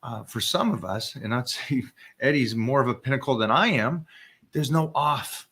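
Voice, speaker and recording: A man coaching viewers on a live stream, energetic and emphatic. He keeps tripping over repeated words when he gets excited. His voice sits in an ordinary middle register. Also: mid-range voice, normal speed, husky. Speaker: fitness coach host.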